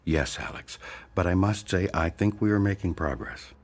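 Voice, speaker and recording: An actor playing a self-important, defensive hypnotherapist, measured and formal, in a radio drama. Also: male voice, deep voice. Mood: smooth, patronizing.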